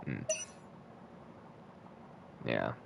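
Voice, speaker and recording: A man's voice: drawn-out words, dull audio, noisy background. A man streaming a video game, thinking aloud and swearing now and then as he plays. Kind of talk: casual gameplay commentary. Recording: game music playing behind. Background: game music.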